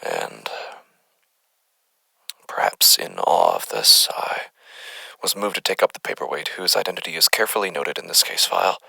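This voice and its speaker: Gravelly, very low-voiced formal actor as a male investigator. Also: very animated, bright, forceful tone, no room sound, whispered, full character voice, low-quality recording. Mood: formal, measured. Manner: formal, deliberate, halting, wordy. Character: unsettled male doctor recording case findings on the paranormal. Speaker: investigator narrator. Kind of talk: horror audio drama monologue, formal dictated case log.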